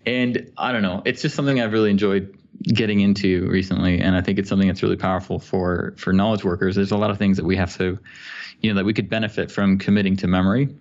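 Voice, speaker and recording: Man, lowish pitch, podcast guest, informal explainer, casual tech chat, slightly muffled lo-fi audio. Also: flat delivery, quick pace. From Sweden.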